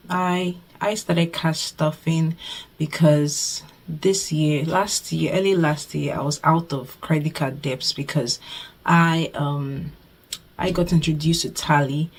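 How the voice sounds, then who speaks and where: Low-voiced Nigerian woman chatting to camera. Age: 20s. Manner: chatty, repeats herself, thankful. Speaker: budgeting vlogger. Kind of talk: casual talk to camera.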